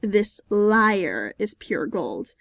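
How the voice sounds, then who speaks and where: A woman's voice: mid-range voice, sing-song, drawn-out words, a bit bright, no echo, studio quality, exaggerated voice. A woman voicing a snobbish female unicorn musician, sneering and taunting, in an audio story. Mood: smug, mocking. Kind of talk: character acting in audio story reading.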